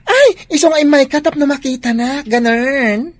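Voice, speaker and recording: A woman's voice: high-pitched, normal speed, close to an everyday voice. Woman acting coy effeminate Filipino sweetheart. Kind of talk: Ilocano radio drama acting.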